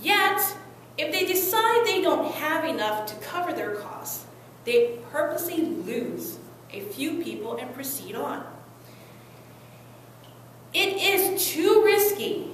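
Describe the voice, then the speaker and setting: An anguished, resolute migrant mother played by a young woman tells her story as a staged dramatic monologue. Her voice is high and swings expressively, with slow, deliberate phrasing. It comes from a distance in a very echoey room. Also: big pitch swings, full character voice. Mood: anxious, weighing. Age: young adult. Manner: earnest, emotive, deliberate phrasing with dramatic pauses. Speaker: student performer. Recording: very echoey room, distant mic, faint noise.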